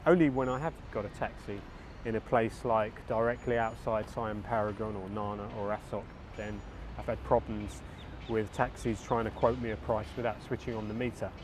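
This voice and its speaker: A man's voice: fairly low pitch, fairly even intonation, moderate pace. A middle-aged man, giving plainspoken, opinionated vlog talk to camera. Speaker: vlogger and tour guide. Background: faint traffic and street noise.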